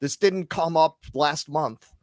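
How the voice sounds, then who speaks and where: A man's voice: on the high side, takes it slowly, no echo, studio quality. A man hosting a political podcast, making points emphatically and repeating them for force. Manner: emphatic, argumentative. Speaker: podcast host.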